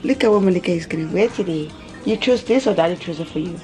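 A woman's voice: fairly low pitch, quick pace, darkish tone, soft background music. A woman in her thirties chatting casually to a camera at arm's length in a home kitchen, repeating words and drifting into asides. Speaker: family vlogger and mother. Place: small home kitchen, indoors. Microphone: camera held at arm's length.

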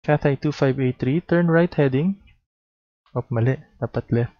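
A man's voice: medium pitch, normal speed, no room sound. A Filipino-accented man in his thirties, teaching air traffic control in an instructional way, on a headset mic. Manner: instructional, mixes Tagalog into English. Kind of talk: demonstration lesson with radio instructions. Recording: dull lo-fi close recording.

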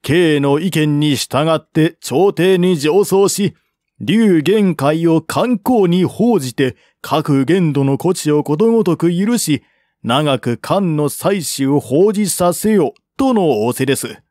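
A man acts out a courtly envoy from a historical novel. He speaks humbly and earnestly in a fairly high voice, very slowly and formally. The sound is close and dry. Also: expressive, darkish tone, dry recording. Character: deferential male envoy, courtly and earnestly persuasive. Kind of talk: audiobook reading of historical novel dialogue.

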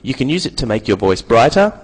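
A young man narrating a scripted software tutorial in a casual, playful manner. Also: mid-range voice, sing-song, fast talker, husky, low-quality recording. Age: young adult.

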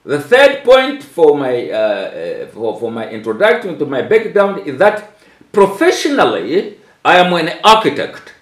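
An elderly Tanzanian churchman in a small, echoey tiled room, slowly and deliberately setting out his beliefs a phrase at a time for an interpreter. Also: fairly high pitch, huge pitch swings. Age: 70s. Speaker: archbishop. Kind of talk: religious address given phrase by phrase for an interpreter.

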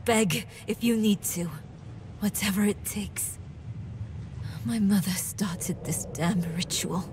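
A medium-pitched voice from an actress plays a fierce, grieving young daughter in a dark fantasy game. Her delivery is slow and halting, earnest yet resolute. Clear ambient noise sits behind her. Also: close to an everyday voice. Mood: bitterly resolute. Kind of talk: dramatic video game cutscene acting.

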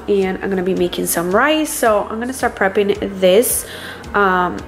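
A young woman vlogs from her home kitchen, chatting in a loose, easygoing way as she walks through her plans. Her voice sits at an ordinary middle pitch and is picked up by a camera mic close by. Soft music plays faintly in the background. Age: young adult. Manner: chatty, relaxed, loose and rambling. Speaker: vlogger, mom. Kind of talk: casual chat to camera.

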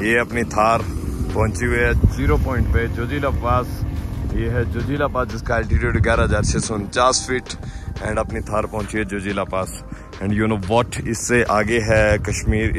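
A man in his thirties, chatty and upbeat, vlogging to his phone held at arm's length on a high mountain roadside. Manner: chatty, upbeat, pointing out sights to viewers. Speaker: travel vlogger. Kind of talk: casual travel vlog to camera.

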